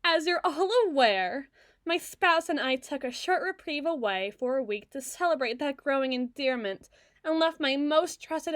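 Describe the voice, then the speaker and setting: Woman playing a high-voiced, imperious evil queen. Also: a bit bright, dry recording, clean studio sound. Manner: theatrical, formal, deliberate oratory with dramatic emphasis. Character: regal, possessive, icy evil queen, imperious and cruel. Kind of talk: scripted ASMR roleplay monologue.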